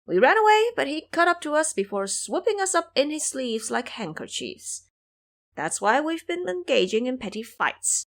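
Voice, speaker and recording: Lady doing a cheeky monkey immortal guy, bold and brash, voice jumps around high, audio drama. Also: sing-song, moderate pace, fairly bright tone, heavily acted. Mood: pleading.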